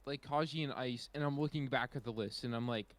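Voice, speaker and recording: A man chatting casually as a podcast panelist, slangy and excitable, often doubling back on his words. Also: medium pitch, brisk. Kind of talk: casual chat.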